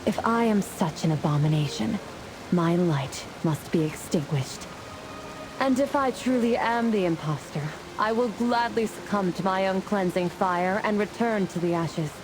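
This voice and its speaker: A woman's voice: mid-range voice, measured pace, noisy background, natural voice. A woman playing a resolute celestial fire warrior in a fantasy game, speaking in a grave, formal, declamatory way. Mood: solemn, resolute. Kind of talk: scripted fantasy game voice acting. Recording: music and rain behind the voice. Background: music, rain.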